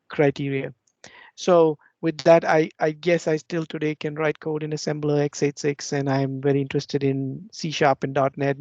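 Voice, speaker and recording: A man in his forties speaks in a fairly high, flat, steady voice. He talks in a measured way with frequent fillers. The video-call audio is dull and dry. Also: no echo. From India. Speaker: software engineering manager. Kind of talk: conference keynote on a video call. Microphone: video call mic.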